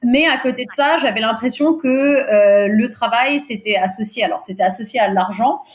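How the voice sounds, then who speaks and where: A woman in her thirties whose first language is Portuguese chats on a podcast in a slightly high voice. She hesitates often, fills pauses and laughs readily as she remembers. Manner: hesitant, many fillers, laughs easily. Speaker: podcast guest, recruiter. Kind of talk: casual interview chat.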